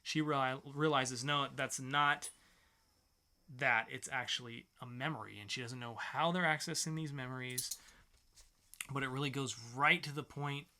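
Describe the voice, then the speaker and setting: Guy cohosting a comics podcast, bright punchy voice, casual and really into it. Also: medium pitch, steady tone, moderate pace. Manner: enthusiastic, informal. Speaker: podcast co-host. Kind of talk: casual comic book review chat.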